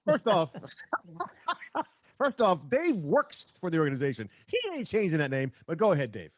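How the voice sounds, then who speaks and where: A man's voice: on the high side, sing-song, moderate pace, no echo. An American man hosting a casual sports podcast with wry, teasing banter. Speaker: podcast host.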